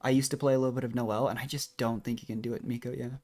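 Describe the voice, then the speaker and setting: Male game streamer, medium pitch, casual chatty live commentary with a rambling, self-deprecating manner. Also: little pitch movement, racing, darkish tone.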